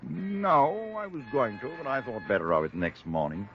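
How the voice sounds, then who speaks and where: A man's voice: medium pitch, wildly swooping pitch, normal speed. An actor with an American accent playing an evasive, self-justifying man in an old radio drama, talking with hesitant hedging. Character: evasive, self-justifying well-off male host reporting a theft. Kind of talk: 1940s-50s American radio drama acting. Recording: dull vintage radio recording with faint music.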